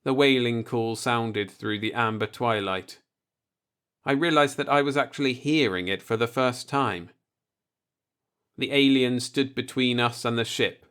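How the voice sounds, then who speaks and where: A man's voice: medium pitch, a bit bright, clear, resonant tone. A man reading a short story aloud as a scripted audiobook narration.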